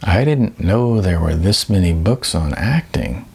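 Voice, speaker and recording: A man's voice: deep voice, animated, gravelly, darkish tone, husky, heavily acted. A man voicing a naive, eager young aspiring actor in an audiobook, speaking hesitantly. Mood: amused, teasing. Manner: hesitant, with stammers and pauses. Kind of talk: audiobook character dialogue.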